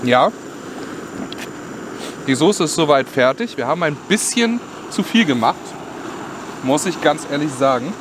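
A man's voice: fairly high pitch, animated, a little slow, pauses often. A young man chats casually and easygoingly to viewers while cooking outdoors, thinking aloud in colloquial speech. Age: young adult. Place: outdoors in a forest. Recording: clearly noisy outdoor background.

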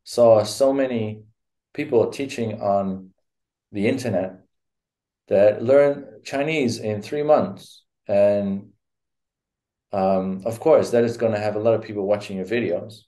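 Middle-aged man, Dutch accent, video-call guest; low, mellow, halting delivery. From Netherlands. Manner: hesitant, many fillers and restarts. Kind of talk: casual interview. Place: home room.

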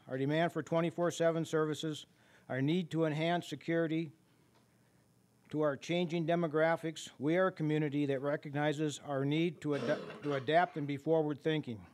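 A man's voice: on the high side, darkish tone. A man in his sixties, a mayor, reading prepared closing remarks steadily at a podium mic in a meeting room. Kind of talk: closing speech.

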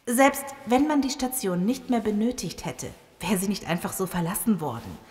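An actress playing an earnest, serious virologist surviving a zombie apocalypse in an audio drama. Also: female voice, medium pitch, big pitch swings, moderate pace, a little mellow, natural voice. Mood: uneasy. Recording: long cavernous reverb.

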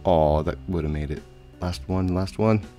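A low-pitched man in his thirties talks through a video game, chatty and meandering with plenty of ums. His voice is a bit hoarse and stuffy from a cold. He speaks into a headset mic at home, with soft music underneath. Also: normal speed. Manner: chatty, rambling, with frequent fillers. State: slightly hoarse with a head cold. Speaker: gaming channel host. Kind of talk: casual let's play commentary.

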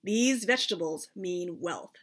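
A woman's voice: fairly low pitch, animated, unhurried, a bit bright, voice pushed down. A woman voicing a cheerful middle-aged father who teases playfully in storybook dialogue. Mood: matter-of-fact.

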